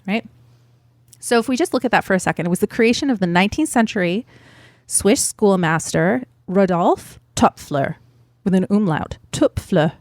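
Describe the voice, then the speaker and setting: Woman podcast host talking comics history, mid pitch, dead dry sound, lots of ums. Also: animated, moderate pace, darkish tone, no room sound. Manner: chatty, thinks aloud with fillers and laughs. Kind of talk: casual explanatory podcast chat.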